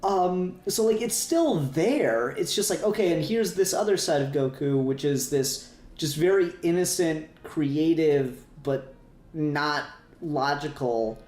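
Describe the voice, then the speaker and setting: A man co-hosting a podcast in casual, opinionated discussion, often halting to repeat and rephrase himself. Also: fairly high pitch, lively intonation, a little mellow. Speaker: podcast co-host. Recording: roomy echo, faint music.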